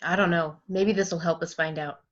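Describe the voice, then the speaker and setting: A table reader voicing a quiet, earnest boy who stutters. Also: medium pitch, fairly even intonation, brisk, close to an everyday voice. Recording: dull lo-fi with faint noise.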